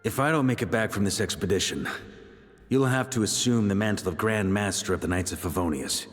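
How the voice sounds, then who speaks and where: An actor playing a seasoned knight commander in a game, calm, steady and reassuring. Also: male voice, fairly low pitch, fairly quick, long reverb tail, soft background music, lightly acted. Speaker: grand master of a knightly order.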